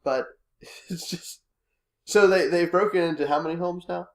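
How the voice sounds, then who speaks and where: A man hosting a casual podcast chat, congested with a cold and coughing now and then, relaxed and hesitant with many fillers. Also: high-pitched, moderate pace, echoey room. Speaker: podcast host.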